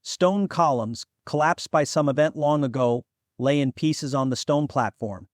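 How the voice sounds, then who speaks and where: A man's voice: a little high, measured pace, dry recording, clean studio sound. A man reading scripted fantasy fiction as an audiobook narrator.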